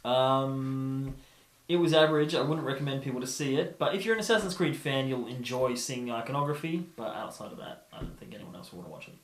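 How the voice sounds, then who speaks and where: A man's voice: medium pitch, fast talker. A man co-hosting a podcast, talking casually as he reviews films. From Australia. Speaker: podcast co-host. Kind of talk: casual film review chat. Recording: roomy, echoing room sound.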